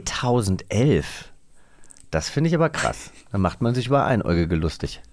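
A man's voice: fairly low pitch, sing-song, normal speed, a little mellow, no echo. A man hosting a podcast quiz in a playful, teasing chat style. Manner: joking, teasing. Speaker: podcast host.

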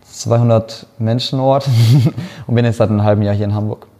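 A man's voice: lowish voice, fairly even intonation, moderate pace, mellow. A man in his twenties from Rhineland-Palatinate, introducing himself cheerfully. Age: 20s.

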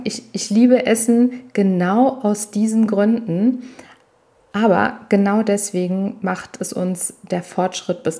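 A woman's voice: medium pitch, warm tone. A woman from Italy working as a coach and podcast host, explaining things instructively and directly to her listeners, with emphasis on key words. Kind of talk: explanatory solo podcast talk.

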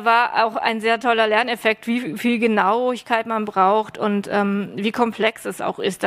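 Woman with a fairly high voice. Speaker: workshop participant and radio play author. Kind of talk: unscripted panel talk. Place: stage of an arts academy event.

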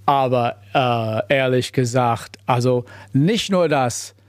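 An American man talking in German, his second language, with an accent, pausing and restarting as he hunts for words. The sound is dry and close, with no room around it. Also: mid-range voice, no room sound. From United States. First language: English. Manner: halting non-native German, searching for words, many restarts. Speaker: human rights investigator. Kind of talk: interview conversation.

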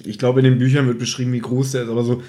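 A man's voice: mid-range voice, level tone, racing, mellow. A man hosting a podcast in speech, chatting casually about radio plays in a teasing, nitpicking way. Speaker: podcast host.

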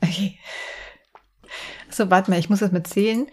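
A woman's voice: mid-range voice, steady tone, moderate pace. A young woman co-hosting a casual podcast, giggly and teasing, sometimes playfully formal. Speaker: podcast co-host. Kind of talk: casual chat.

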